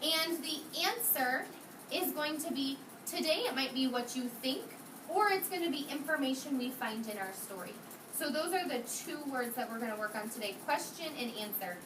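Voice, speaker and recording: High-voiced woman teaching young children. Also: animated, normal speed. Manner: questioning, repeats answers back, instructive. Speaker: kindergarten teacher. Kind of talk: interactive read-aloud lesson for young children. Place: indoor classroom with young children. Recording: roomy, noisy classroom from a distance.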